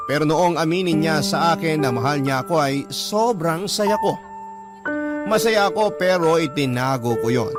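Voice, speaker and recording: Man acting earnest Filipino confessor over loud music. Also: on the high side, lightly acted. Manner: confessional, heartfelt. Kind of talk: dramatised letter narration, radio storytelling.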